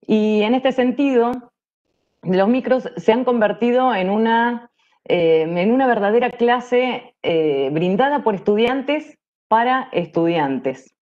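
A woman's voice: on the high side, expressive, slow, a little mellow. A woman with an Argentine accent giving a prepared presentation over a video call. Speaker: workshop presenter. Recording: dull lo-fi video-call audio.

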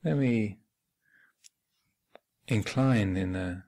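Low-voiced man guiding a meditation. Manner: measured, hesitant pauses, gentle instructional phrasing. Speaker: Buddhist monk and meditation teacher leading a retreat. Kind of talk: guided meditation instruction. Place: meditation hall at a retreat center.

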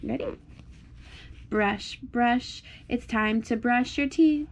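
High-pitched woman reading a bedtime storybook. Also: slow. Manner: encouraging, sing-song, simple repeated phrases. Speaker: parent reading a bedtime picture book to a small child. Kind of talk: child-directed storybook read-aloud. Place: indoors at home, on a blanket.

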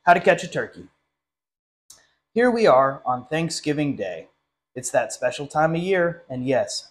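Higher-voiced young man reading a storybook. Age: young adult. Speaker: literacy council director reading a story. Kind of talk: storytime picture-book reading. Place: small indoor classroom.

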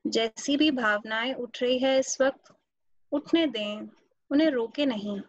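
A woman meditation teacher with an Indian accent speaks in a fairly high voice. Her instructions come slowly, separated by long pauses. The sound is dry and a little dull, as if over a webinar call. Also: dry recording. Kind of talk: guided meditation. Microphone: video call. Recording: dull, muffled webinar audio.

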